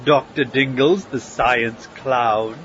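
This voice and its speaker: A man's voice: medium pitch, fairly even intonation, slow, muffled recording, heavy background noise, lightly acted. A man playing a haughty, dismissive mad science fair judge with a pompous delivery in a comic audio drama. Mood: mocking interest.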